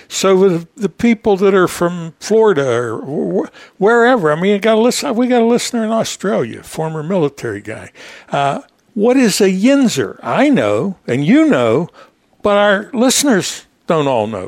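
A man's voice: high-pitched, a little mellow, dry recording. An American man hosting a casual motorsport radio interview, chatting and explaining things for his listeners. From Pittsburgh area, United States. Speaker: radio show host.

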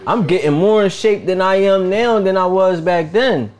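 A man's voice: sits high, normal speed, darkish tone. A man in his thirties hosting a casual podcast, talking in a laid-back, slangy way and firing off this-or-that questions. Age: 30s. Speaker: podcast host. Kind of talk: casual hip-hop podcast chat.

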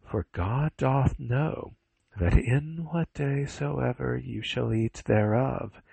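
A man voicing a cunning serpent in a dramatised scripture reading, slow and drawn-out with a breathy, whispery voice. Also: mid-range voice, a little mellow, no room sound, voice pushed up, exaggerated voice.

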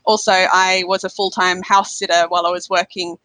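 A woman's voice: fairly low pitch, level tone, fairly quick, a bit bright, no room sound, low-quality recording. An Australian woman chatting as a guest on a podcast interview over a remote call, laughing lightly between phrases. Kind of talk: casual conversational interview.

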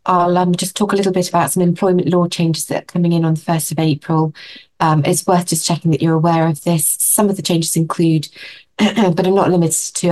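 Croaky woman reading out updates. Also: fairly low pitch, darkish tone. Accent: English. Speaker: practice support adviser. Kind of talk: online briefing to practice managers. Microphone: video call.

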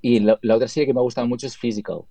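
A man's voice: mid-range voice, fairly even intonation, racing, dark tone, dry recording. A man from Spain, a guest talking casually about his editing work, rambling with restarts and tag questions. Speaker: guest, video editor and colorist. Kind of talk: casual interview talk.

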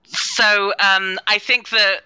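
Female panelist, very bright crisp tone, thoughtful and earnest, close dry sound. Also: mid-range voice, fairly even intonation, slow, dry recording, poor audio. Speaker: panelist, head of inclusion at an audio drama company. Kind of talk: live panel discussion.

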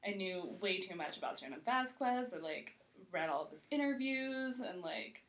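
A woman's voice: mid-range voice, lively intonation, moderate pace. A woman chatting casually as an interview guest, self-deprecating and humorous, peppering her talk with "like". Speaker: podcast guest, TV critic.

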